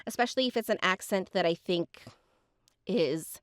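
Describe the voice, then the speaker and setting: A woman's voice: lowish voice, normal speed, no echo, studio quality. A woman hosting a podcast, chatting effusively with lots of fillers. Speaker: podcast host. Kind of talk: casual podcast chat.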